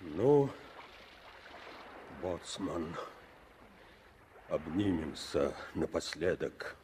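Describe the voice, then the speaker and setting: Sombre soviet commissar, really slow and steady, old radio play, bit of sea noise. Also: male voice, low-pitched, exaggerated voice. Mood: sombre resolve. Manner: sombre, measured. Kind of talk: 1960s radio drama acting.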